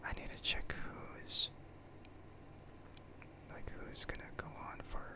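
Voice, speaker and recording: A livestream host speaking in a soft breathy whisper, chatting in a rambling, hesitant, self-deprecating way in ASMR style. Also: unhurried.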